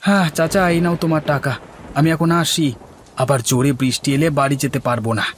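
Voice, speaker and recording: A man's voice: on the high side, fast, slight background noise, lightly acted. A man voicing a plain, chatty working family man in a Bengali village cartoon.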